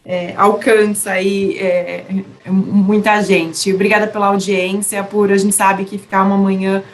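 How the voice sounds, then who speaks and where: A woman's voice: mid-range voice, level tone, a little slow. A woman giving chatty closing remarks as the organizer of an online seminar, with frequent fillers. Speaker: event organizer closing the session. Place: online webinar.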